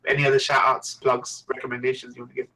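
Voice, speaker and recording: A man hosting a casual anime podcast, at a middling pitch. He talks in a relaxed, encouraging way and hands the floor to each guest in turn. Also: fast talker, rough recording. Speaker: podcast host.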